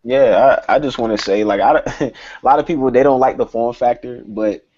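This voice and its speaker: A man with a dark, husky voice discusses tech casually as a podcast panelist. He explains things emphatically, working through examples. The recording is dull and lo-fi. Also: medium pitch, normal speed.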